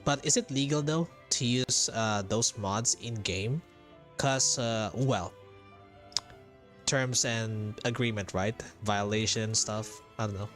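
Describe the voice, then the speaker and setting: Low-voiced man streaming a game, unhurried. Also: a bit bright. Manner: hesitant, thinking aloud, repeats himself. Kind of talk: live playthrough commentary, casual reaction. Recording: game music behind the voice. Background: video game music.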